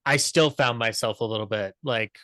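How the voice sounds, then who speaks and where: Guy on a podcast reviewing old comedy, bright forward voice, stumbles and repeats, into it, dry sound. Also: medium pitch, no room sound. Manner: halting, repetitive, enthusiastic. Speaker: podcast panelist discussing classic comedy episodes. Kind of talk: casual discussion, off-the-cuff review.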